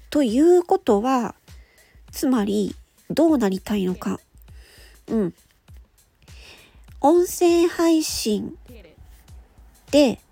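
A woman's voice: a little high, gravelly, dark tone, no room sound. A woman hosting an audio show, explaining things step by step in a casual, polite way.

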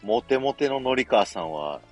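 A man's voice: medium pitch, lively intonation, normal speed, darkish tone. A man co-hosting a casual live stream chat, with music playing behind him. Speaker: co-host.

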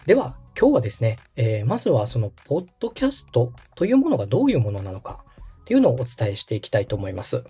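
A man's voice: mid-range voice, wildly swooping pitch, fast talker, mellow, slightly rough, soft background music. A man in his thirties from Osaka hosting a podcast, explaining things politely, patiently and step by step. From Osaka, Japan. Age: 30s. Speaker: podcast host, radio director.

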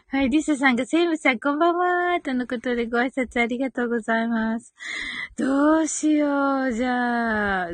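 High-voiced woman chatting on a livestream. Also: fairly bright tone, no echo. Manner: giggly, thinking aloud. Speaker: live audio stream host. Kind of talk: casual chat, reading and reacting to listener comments.

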